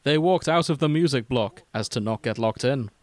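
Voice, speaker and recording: British man, podcast reader: close dry sound, mid-pitched, comic dramatic narration broken by deadpan asides. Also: normal speed, no room sound. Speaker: podcast host reading fan fiction aloud. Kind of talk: dramatic comic reading with casual banter.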